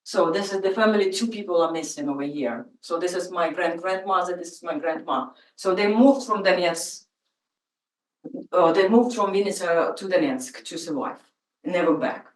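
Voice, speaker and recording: A woman with a Ukrainian accent, a native Russian speaker, giving an informal, halting and personal lecture as an artist. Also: on the low side. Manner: halting, personal, emotional at times. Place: lecture room. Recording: roomy, from far off.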